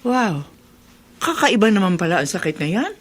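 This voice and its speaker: A woman's voice: medium pitch, big pitch swings, normal speed. A woman with a Filipino accent playing a teasing, inquisitive confidante in a radio drama. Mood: teasing, curious. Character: teasing, curious confidant of an angry friend.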